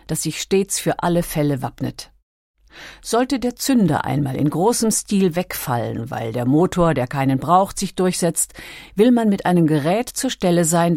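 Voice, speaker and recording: Mellow, lowish female documentary narrator. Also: vocal fry, no echo, clean studio sound.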